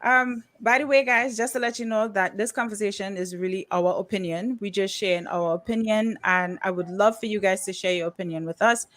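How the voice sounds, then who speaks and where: A woman's voice: medium pitch, steady tone, fairly quick, few pauses, a bit bright. A woman with a Caribbean accent hosting a casual livestream talk show, chatty, with frequent "um"s and laughs. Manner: chatty, laughs often, uses fillers like "um" and "you know". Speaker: podcast host.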